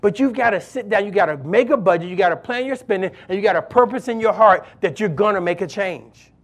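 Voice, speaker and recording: A man's voice: sits high, animated, fast. A male pastor preaching a sermon, emphatic and exhorting, repeating key phrases for weight.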